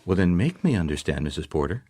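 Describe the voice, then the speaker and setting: A man's voice: sits low, sing-song, fast, a little mellow, dry recording. An actor playing a world-weary male private detective in a noir radio drama, dry and probing. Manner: dry, probing, hesitant coaxing.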